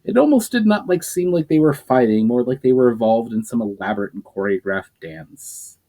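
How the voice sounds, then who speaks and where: A man reading a fantasy novel aloud as a storyteller, expressive, with occasional slips and restarts. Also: medium pitch, fairly quick.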